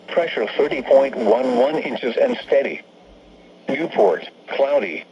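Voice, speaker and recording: A lo-fi weather radio broadcast with some background noise carries an automated American man's voice. It is fairly high for a man and reads forecasts in a stilted, synthetic way, item after item. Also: vocal fry. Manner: robotic, stilted synthetic phrasing. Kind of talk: scripted weather forecast readout. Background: steady radio background noise.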